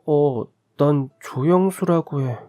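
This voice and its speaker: A man's voice: a little high, takes it slowly, dark tone, soft background music. A man voicing a shy, lonely young boy who hesitantly invites a new neighbor kid over to play.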